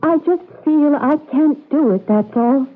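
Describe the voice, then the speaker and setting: Very high-voiced, anxious young woman. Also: measured pace, faint music behind. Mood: pleading, defiant. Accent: American. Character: frightened, lovesick expectant young woman, secretly a programmed operative. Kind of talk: old-time radio drama acting. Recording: tinny, narrow-band radio drama recording.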